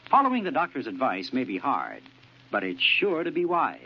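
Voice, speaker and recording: A man's voice: medium pitch, huge pitch swings, moderate pace. A man announcer giving an earnest, persuasive public service message in 1940s radio style. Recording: muffled, faintly noisy old radio recording.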